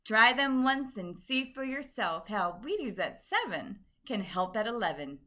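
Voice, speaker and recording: A woman playing a peppy 1950s radio cereal pitchwoman, cheery and folksy in her salesmanship. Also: big pitch swings, unhurried, pushed and bright, exaggerated voice. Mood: cheery, selling.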